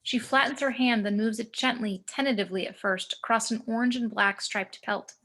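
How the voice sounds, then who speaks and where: A woman's voice: a little high, steady tone, brisk, a bit bright. A woman reading screenplay action descriptions as scripted narration for a table read.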